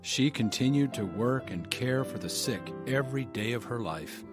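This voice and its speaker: A man narrating an audio drama in a measured, scripted storytelling manner. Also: medium pitch, lively intonation. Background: music.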